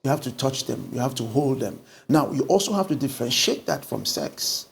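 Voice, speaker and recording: A middle-aged Nigerian man, a pastor talking through a book in an animated conversational way, recorded on a lapel mic in an indoor studio. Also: mid-range voice, brisk, gravelly. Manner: animated storytelling with lively gestures. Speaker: pastor and guest.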